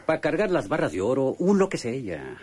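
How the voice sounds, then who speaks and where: Excitable rustic Mexican bandit: husky, swooping delivery, boastful, colloquial, radionovela style. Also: male voice, medium pitch, normal speed. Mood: scheming, pleased. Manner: colloquial, exclamatory, repetitive. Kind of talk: radio drama acting.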